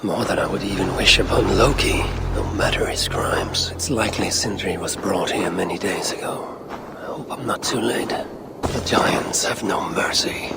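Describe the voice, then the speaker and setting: An actor playing a brooding, resolute Norse warrior god in a video game, speaking gravely and deliberately in a gravelly voice. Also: male voice, low-pitched, loud music behind the voice, lightly acted. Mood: somber, vengeful. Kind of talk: video game dramatic acting and musing. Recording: loud background ambience and noise.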